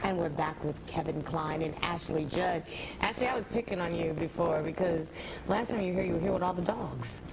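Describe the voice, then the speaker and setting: A woman's voice: lowish voice, a little fast, creaky. A woman hosting a talk show, bringing the show back with teasing patter. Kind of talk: returning from a commercial break. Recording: noisy TV studio with audience.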